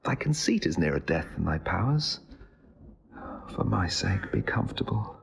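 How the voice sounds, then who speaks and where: An earnest young nobleman who comforts a companion. His voice is very low and his tone is warm and urging. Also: very animated, moderate pace, gravelly, breathy edge, heavily acted. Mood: encouraging. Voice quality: tender, encouraging. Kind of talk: classical verse drama.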